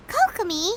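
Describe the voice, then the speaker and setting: A woman voicing a tiny, childlike female fairy companion in a video game, squeaky and earnest, over music. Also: a bit bright, full character voice. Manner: squeaky, refers to self in third person.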